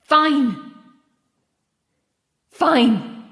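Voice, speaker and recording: An actress playing an anguished, defiant, guilt-ridden lighthouse keeper in a horror audio drama. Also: female voice, high voice, lively intonation, extremely slow, fairly bright tone, echoey room, big-room sound, full character voice.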